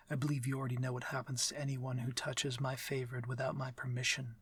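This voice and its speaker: A man playing a courteous, protective, commanding vampire lord in an intimate ASMR audio drama, speaking softly in measured, formal phrases that often turn whispery. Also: mid-range voice, level tone, husky, dry recording. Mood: stern, possessive.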